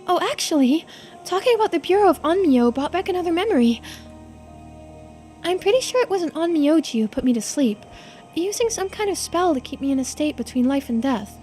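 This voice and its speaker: A woman's voice: very high-pitched, very animated, brisk, darkish tone, soft background music, pitched above the performer's own voice. A woman voicing a girlish, earnest and resigned immortal character in a visual novel. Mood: recollecting.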